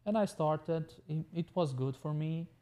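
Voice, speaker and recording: A man with an Albanian accent in a casual interview, explaining himself haltingly and repeating words as he goes. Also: a little high, mellow. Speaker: podcast guest, tech business owner.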